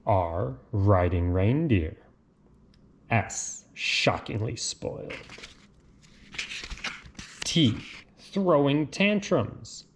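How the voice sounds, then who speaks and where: A man with a fairly low voice reads a children's picture book aloud. His delivery is slow and lilting, with a casual touch. There is light noise and clicking in the recording. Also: expressive. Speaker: reader of picture books. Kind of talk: read-aloud children's storybook narration with casual asides.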